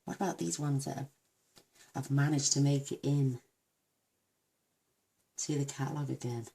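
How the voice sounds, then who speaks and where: A woman with a croaky voice, chatting warmly through a card-making demonstration and gushing over each step. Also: very deep voice, steady tone, moderate pace. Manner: gushing, talks to viewers while working.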